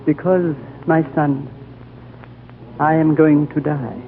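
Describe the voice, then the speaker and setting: Ancient, solemn high lama. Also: male voice, flat delivery, a little hiss or hum. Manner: slow, grave, prophetic. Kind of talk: 1930s radio drama acting. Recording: old phone-like radio broadcast, faint music.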